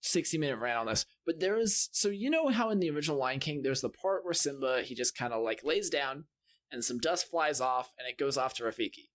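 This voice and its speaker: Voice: medium pitch, expressive, brisk. Exasperated podcast co-host ranting casually. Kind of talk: casual chat, comic ranting.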